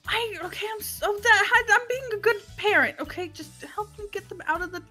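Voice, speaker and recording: A woman plays an anxious young superheroine, high and bright. She talks in a hesitant, fretful, protective way in a game roleplay, over faint music. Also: a little fast. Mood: hurt, fretful.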